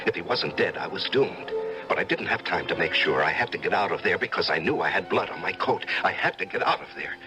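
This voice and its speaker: A murderous, self-justifying judge in an old-time radio play, with music underneath. The voice is extremely low and swings widely as it moves through brooding, deliberate confession. He sounds secretive and theatrical, a man coming unhinged. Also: animated, low-quality recording. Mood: anxious. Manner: deliberate, brooding, theatrical, halting when evasive.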